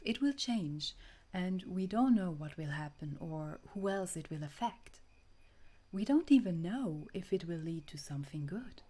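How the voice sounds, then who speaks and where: A woman plays a tender, wistful girlfriend in a romantic audio roleplay. Her voice sits a little low and moves very slowly. She speaks softly and breathily to her beloved, often sinking close to a whisper. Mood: regretful, pleading. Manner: soft, breathy, often dropping toward a whisper. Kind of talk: intimate romantic audio roleplay, ASMR acting.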